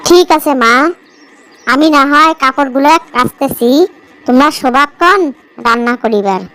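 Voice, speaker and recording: High, clear young village girl voiced by a woman. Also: lively intonation, normal speed, sharp tone. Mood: dutiful. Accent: northern Bangladeshi. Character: put-upon young village stepdaughter, dutiful but plaintive. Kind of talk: amateur cartoon story voice acting.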